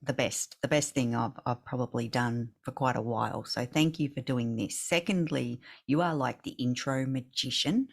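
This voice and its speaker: A woman's voice: very deep voice, normal speed, creaky. A woman in her forties leading a casual video call chat, effusive and laughing often. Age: 40s. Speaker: business mastermind leader.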